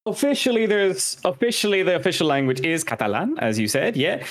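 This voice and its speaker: A man's voice: high-pitched, big pitch swings, brisk. A man co-hosting a music history podcast, talking casually through scripted notes and joking about his own mispronunciations. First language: Irish and English speaker. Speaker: podcast co-host.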